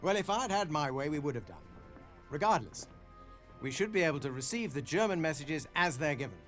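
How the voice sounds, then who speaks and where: A witty intelligence officer in a war video game. He sounds smug and precise, with very expressive intonation and a slightly high pitch. Faint music sits behind him. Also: brisk. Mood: dry, explanatory. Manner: wry, precise, self-satisfied. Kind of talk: video game cutscene dialogue.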